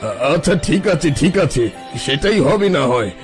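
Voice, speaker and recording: A man's voice: on the high side, sing-song, unhurried. An actor voicing a sly, self-important fake holy man in a cartoon story. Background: background music.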